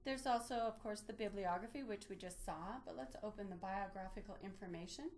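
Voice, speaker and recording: A woman presenting an instructional software walkthrough, explaining methodically step by step in a lightly improvised way. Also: medium pitch, fairly quick, faint music behind. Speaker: presenter of a library database tutorial.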